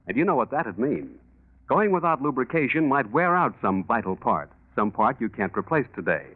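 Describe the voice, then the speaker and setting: An American man announcing and pitching a sponsor in scripted 1940s radio advertising style. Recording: tinny 1940s radio recording.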